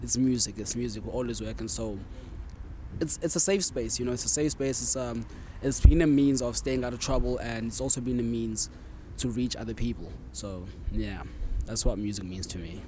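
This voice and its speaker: A man from South Africa with a mid-pitched voice talks casually in an interview as a music artist. He is recorded outdoors, with faint urban traffic noise behind him. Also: normal speed. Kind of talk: casual chat.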